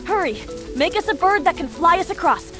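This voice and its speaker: A young wandering heroine in a fantasy game, her voice high and youthful. She is plucky and persuasive, always coaxing, over background music. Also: lively intonation, fairly quick. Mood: urging. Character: plucky, pushy young female wandering martial hero. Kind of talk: dramatic game cutscene acting. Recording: music behind.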